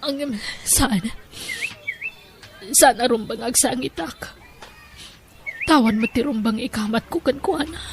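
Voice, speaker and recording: A woman's voice: a little high, slow pace, long pauses, slight background noise. A woman playing an emotive, jilted young woman in an Ilocano audio drama. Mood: heartbroken, brooding. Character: tearful jilted young woman, kind to a child.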